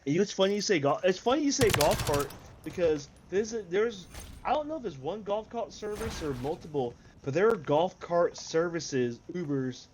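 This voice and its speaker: A man's voice: high-pitched, lively intonation, moderate pace. A young American man in casual, emphatic chat, repeating words for emphasis. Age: young adult. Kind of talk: casual chat, swearing banter. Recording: faint background noise.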